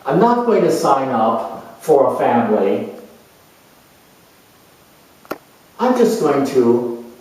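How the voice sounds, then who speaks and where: Man acting out slow, burdened young man, echoey. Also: mid-range voice, big pitch swings, a little mellow, a little hiss or hum. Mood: defiant, carefree. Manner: weary, halting, resigned. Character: bored, burdened young Capricorn man resenting his father. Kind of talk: dramatized monologue. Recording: distant mic in echoey room.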